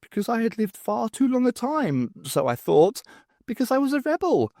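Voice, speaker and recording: Audiobook alien, ancient male rebel poet, last survivor, high lilting delivery, rambling and wistful, performed by a man. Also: very animated, moderate pace, dark tone, dry recording, clean studio sound, heavily acted.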